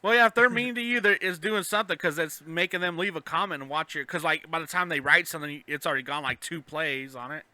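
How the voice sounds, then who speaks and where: A man's voice: on the high side, fast talker, few pauses, pushed and bright, dry recording. A man co-hosting a podcast, asking questions and bantering in a casual, joking style. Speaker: podcast co-host, interviewer.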